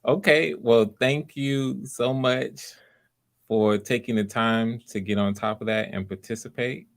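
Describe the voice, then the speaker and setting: A man's voice: mid-range voice, steady tone, unhurried, vocal fry. A man hosting online auditions, casually coaching people in an encouraging, reassuring way. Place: live online video call.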